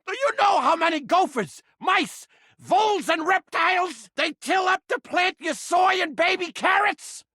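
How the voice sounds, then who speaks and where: A brash, cranky talking rat trap from a comedic video game, with a thick-skinned Brooklyn street-tough manner and accent. The voice is sharp and forceful and swings wildly up and down. It is recorded close and dry, and every line is theatrical and wisecracking. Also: male voice, very high-pitched, expressive, measured pace, dry recording, exaggerated voice. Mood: ranting. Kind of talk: exaggerated comedic video game character acting.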